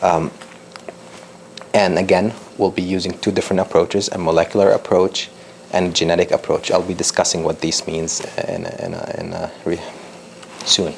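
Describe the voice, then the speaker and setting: A young man from Lebanon with a low, gravelly voice gives a public science talk. He talks hesitantly, with many ums and restarts, and a faint hiss of noise sits under the recording. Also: lively intonation, normal speed. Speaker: PhD researcher giving a talk.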